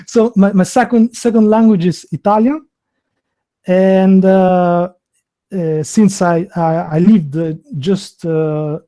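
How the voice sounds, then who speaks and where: A man in his thirties from Albania, a native Albanian speaker with an Albanian accent, hosting a live online video interview in a halting, hesitant way with many fillers and restarts. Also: high voice, fairly even intonation, mellow. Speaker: host.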